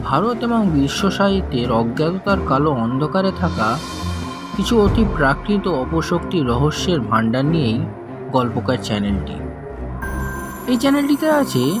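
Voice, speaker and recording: A man delivering a scripted horror channel intro in an ominous, theatrical manner. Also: on the high side. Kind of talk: dramatic scripted promo narration. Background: loud intro music.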